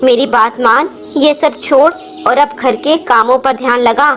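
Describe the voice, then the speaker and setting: Woman as a cartoon mother bird, high voice, worried, gently admonishing, music behind. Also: steady tone, unhurried. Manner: admonishing, coaxing. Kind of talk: children's cartoon voice acting.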